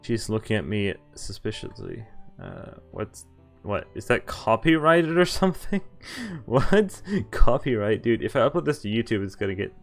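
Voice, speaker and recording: A man's voice: on the low side, wildly swooping pitch, moderate pace, creaky, soft background music. A man streaming a visual novel, reading its dialogue and narration aloud and breaking off with casual, laughing asides. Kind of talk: game playthrough, reading lines aloud with commentary.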